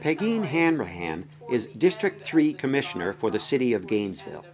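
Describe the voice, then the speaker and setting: A man's voice: medium pitch, very animated, brisk. A man reading scripted documentary narration as the narrator.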